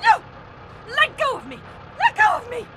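A woman's voice: very high-pitched, huge pitch swings, moderate pace, very noisy, exaggerated voice. An actress playing a terrified, frantic woman fleeing through the woods in a radio drama, pleading and crying in panic. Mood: hysterical panic. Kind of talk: audio drama acting. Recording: loud music over the voice.

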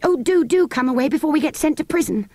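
Young storybook girl, played by a woman, high voice, eager, emphatic, animated intonation. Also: fast, no room sound. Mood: anxious. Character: earnest, pleading young English girl, anxious and weary.

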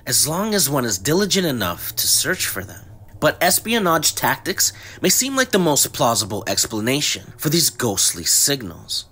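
A man reading scripted mystery documentary narration with measured, dramatic phrasing. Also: medium pitch, sharp tone.

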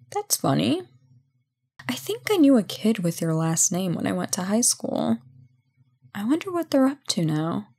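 A woman playing a brisk, playful company boss in an audio roleplay job interview, chatty and full of wry asides. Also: medium pitch, a little mellow. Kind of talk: ASMR roleplay, one-sided conversation.

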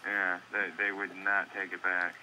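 A man's voice: deep voice, level tone, a little slow, sharp tone. A man working as an electronics store employee, answering a customer over an internet phone call. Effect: phone call over computer speaker. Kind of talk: customer service phone talk. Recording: harsh, slightly noisy internet phone line.

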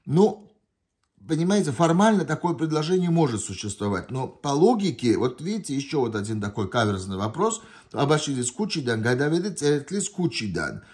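A man's voice: mid-range voice, big pitch swings, fairly quick, darkish tone. A man tutoring from a printed test sheet, thinking aloud with hesitations and self-corrections. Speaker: language tutor. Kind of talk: explaining test answers while reading a printed sheet. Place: indoors at a desk. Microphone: phone camera pointed down at a sheet held in hand.

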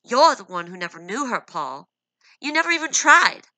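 A woman's voice: mid-range voice, lively intonation, measured pace, bright, forceful tone, no echo, voice pushed up, heavily acted, poor audio. A woman voicing a hurt, defiant young wife who speaks in a strained, rising voice, close to tears.

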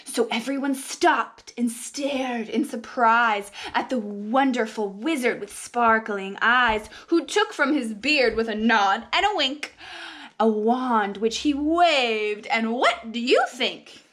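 A young woman reading a children's picture book aloud in an expressive, sing-song, drawn-out preschool teacher's manner, recorded at home on a camera mic. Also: on the high side. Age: young adult.

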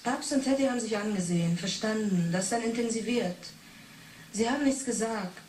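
A woman's voice: fairly high pitch, fairly quick, darkish tone, natural voice. Defiant young female reporter, roomy and distant acted by a woman. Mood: earnest, stubborn. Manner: terse, stubborn, earnest. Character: defiant 28-year-old female reporter under questioning. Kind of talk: 1980s German radio drama acting. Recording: roomy, distant, hissy interrogation tape.

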